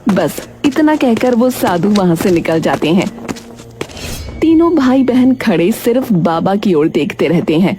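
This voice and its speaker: A woman's voice: mid-range voice, sing-song, unhurried, a little hiss or hum. A woman narrating a scripted story as its storyteller.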